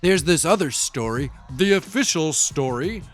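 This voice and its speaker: A man's voice: fairly high pitch, expressive, normal speed, soft background music, close to an everyday voice. A man playing a rattled, defensive young eyewitness in an audio drama, telling his story in a casual, slangy, confiding way. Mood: confiding, skeptical. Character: rattled, defensive young male eyewitness, street-talking and wry. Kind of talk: first-person audio fiction monologue, conversational storytelling.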